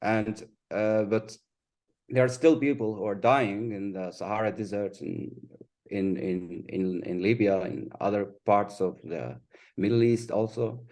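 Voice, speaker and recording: A man speaking English as a second language, Kurdish his first, on a panel, talking thoughtfully with many pauses and restarts. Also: lowish voice, a little mellow. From Kurdistan region of Iraq. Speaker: panelist, artist and refugee. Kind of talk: panel discussion.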